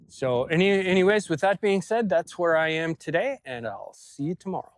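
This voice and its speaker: A man talking casually to camera in a personal vlog outdoors on a farm, halting and reflective, with phrases that trail off. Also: high voice, expressive. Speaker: vlogger.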